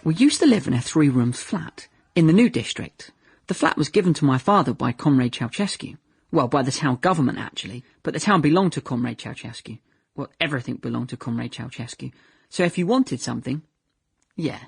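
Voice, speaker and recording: An actor playing a naive young man in a radio drama monologue, recalling his childhood in a deadpan, chatty way, often correcting himself. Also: medium pitch, a little fast, vocal fry, slightly rough, no echo, heavily acted. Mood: deadpan reminiscing. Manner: deadpan, chatty, self-correcting, trailing into "yeah".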